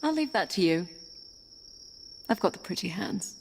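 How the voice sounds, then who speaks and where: A woman's voice: mid-range voice, very animated, normal speed, creaky, soft background music. A woman playing a plucky, wry young blind princess in an audio adventure game, self-assured in manner. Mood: wry, playful. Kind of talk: naturalistic audio game acting. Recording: reverberant, faint cricket ambience.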